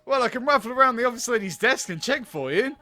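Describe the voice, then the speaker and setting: A man voicing a scatterbrained, cheeky office worker in a dating sim game, blunt and excitable. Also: very high-pitched, fast, full character voice. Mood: cocky.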